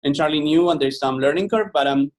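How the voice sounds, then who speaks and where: A man with a Spanish accent presenting a software demo on a web call, relaxed, with many fillers and restarts. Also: a little high, a little fast. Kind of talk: webinar product demo.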